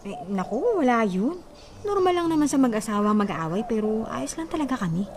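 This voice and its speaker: A woman's voice: on the high side, big pitch swings, brisk, crowd noise. A woman playing a weary, long-suffering wife in her thirties, meek and evasive, in a Filipino radio drama.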